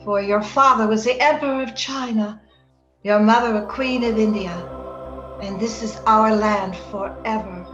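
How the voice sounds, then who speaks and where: A woman's voice: mid-range voice, fairly even intonation, moderate pace, music in the background, close to an everyday voice. A woman playing a dying, lovesick young woman in a wistful, theatrical old-time radio drama style. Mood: dreamy, loving. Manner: wistful, romantic, theatrical delivery. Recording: roomy, dull remote recording.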